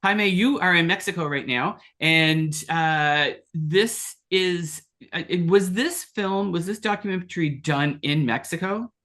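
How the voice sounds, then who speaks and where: A man hosting a conversation with filmmakers for a film festival, asking halting questions with fillers and restarts. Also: high-pitched, fairly bright tone. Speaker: festival interview host.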